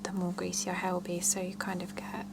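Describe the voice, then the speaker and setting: A woman whispering softly in an ASMR roleplay as a salon scalp therapist, chatting and explaining gently and without hurry. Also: on the low side, monotone, fast, very noisy. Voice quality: soft breathy whisper with creaky edges.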